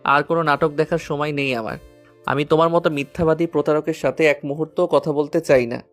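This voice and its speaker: A woman's voice: sits low, steady tone, fairly quick, faint music behind, natural voice. A woman voicing a bitter, heartbroken young man in an audio love story, grave and wounded in manner. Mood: cold, bitter.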